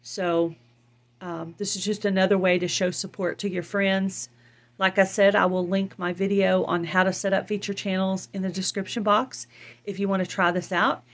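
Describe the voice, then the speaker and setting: A woman's voice: mid-range voice, level tone, moderate pace. A woman hosting a video channel, talking casually and informally to her viewers. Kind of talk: casual vlog chat. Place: indoors, filming a laptop screen.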